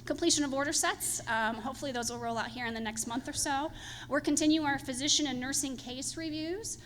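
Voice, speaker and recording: A woman's voice: high-pitched, fairly quick, a bit bright. A woman panelist giving earnest remarks with many fillers at a conference, mic in hand. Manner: earnest, filler-heavy presenting. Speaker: hospital panelist. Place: conference hall. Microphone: handheld microphone. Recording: echoey hall, faint noise.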